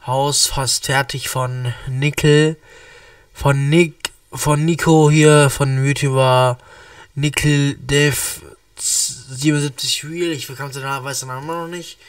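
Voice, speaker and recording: A man's voice: mid-range voice, steady tone, slow pace, recorded from a distance. Young male reaction streamer with a cold, loose rambling delivery, sits a little back from the mic. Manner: rambling, many restarts and fillers. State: has a cold. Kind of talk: casual reaction commentary.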